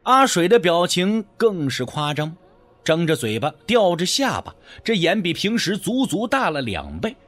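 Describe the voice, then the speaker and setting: A man narrating a first-person story as an audiobook, in an expressive, theatrical way. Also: on the high side. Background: background music.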